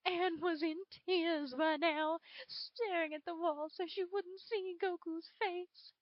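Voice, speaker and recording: A woman's voice: very high-pitched, fairly even intonation, slow, no echo, dull audio. A woman voicing a drawling southern dragon. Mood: dramatic. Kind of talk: reading fan fiction aloud.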